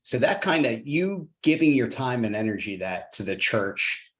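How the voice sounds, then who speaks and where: A man's voice: medium pitch, sing-song, moderate pace. A man hosting a podcast interview, upbeat and encouraging, often tagging sentences with "right?". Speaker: podcast host, real estate investor.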